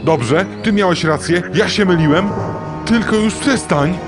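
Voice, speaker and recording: Whiny, high-pitched pleading villain. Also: male voice, measured pace. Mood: desperate pleading. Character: whining, pleading dark villain in torment, male. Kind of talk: video game cutscene acting. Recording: music behind the voice.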